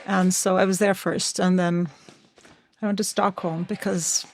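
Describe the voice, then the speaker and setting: A woman's voice: lowish voice, little pitch movement, a little slow. A woman from Sweden with a Swedish accent, a singer and vocal coach chatting as a guest with frequent restarts. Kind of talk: casual interview chat.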